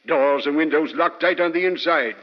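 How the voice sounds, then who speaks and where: An actor playing a gruff, stubborn police inspector in an old-time radio drama, blunt and clipped. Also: male voice, on the high side, moderate pace, close to an everyday voice. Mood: irritated, dismissive. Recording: muffled reverberant old radio recording.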